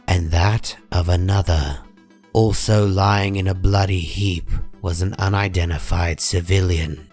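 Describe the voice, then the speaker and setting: A man narrates a comic book story in a deep voice. His delivery is slow, solemn and measured, with soft music underneath. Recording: music behind the voice.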